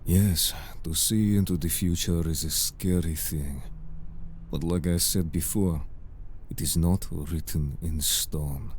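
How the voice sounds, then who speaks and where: A man's voice: low voice, steady tone, a little mellow, slight background noise. A man playing a composed, exasperated merman in human form, speaking formally and dryly in a scripted audio drama. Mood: calm, measured. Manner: formal, measured, dry. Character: composed, exasperated older-brotherly male merfolk in human form. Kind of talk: scripted audio roleplay acting.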